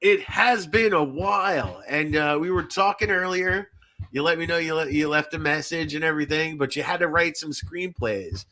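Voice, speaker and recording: A man's voice: a little high, moderate pace, sharp tone. A man hosting a podcast in casual chat, rambling and repeating himself, with some swearing. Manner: rambling, repetitive, frequently swearing. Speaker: podcast host.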